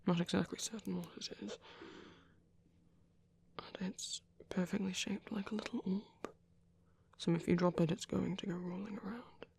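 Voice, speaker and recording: A man voicing a gentle, caring vampire priest in an ASMR roleplay, speaking in a soft, breathy whisper with unhurried, hesitant pauses. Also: high-pitched, fairly even intonation, heavily acted. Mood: tender, careful. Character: gentle, caring male vampire priest comforting a little one. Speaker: ASMR performer.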